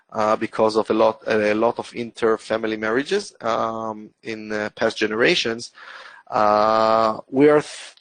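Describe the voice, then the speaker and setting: A man's voice: mid-range voice, no room sound, poor audio. A man with an Israeli accent, a guest presenter answering webinar questions, hesitant with many fillers and restarts. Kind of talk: webinar Q&A explanation.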